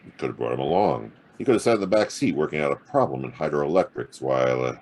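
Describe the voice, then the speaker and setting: An actor playing a hard-boiled, wisecracking private detective in a noir radio drama, with a gravelly, husky voice and a sardonic drawl. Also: male voice, very deep voice, animated, fast talker, a little mellow. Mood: probing, wry. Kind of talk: noir radio drama acting and first-person narration.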